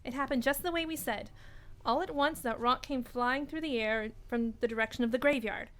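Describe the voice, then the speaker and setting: Woman acting an earnest young woman, high-pitched. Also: fairly quick, close to an everyday voice. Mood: insistent. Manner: earnest, insistent, dramatic. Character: earnest, intelligent young college-educated woman, gripped by dread. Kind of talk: radio play acting.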